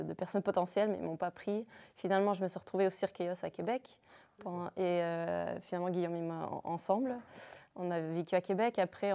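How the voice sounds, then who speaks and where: A woman's voice: fairly low pitch, creaky, mellow. A woman from English-speaking Canada in her forties, a native English speaker, answering interview questions hesitantly. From English-speaking Canada, near Montreal. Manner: hesitant, many fillers. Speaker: circus performer and teacher.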